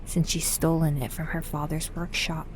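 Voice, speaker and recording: A woman narrating a scripted audio drama in a measured storytelling manner. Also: sits low, flat delivery, slight background noise.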